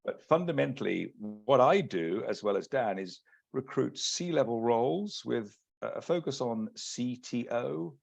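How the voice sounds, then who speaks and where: A man from the UK with a British accent, giving a measured, businesslike webinar presentation as an executive search partner. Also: medium pitch, expressive, gravelly, darkish tone, breathy edge. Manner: measured, businesslike, many restarts. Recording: lo-fi video call audio.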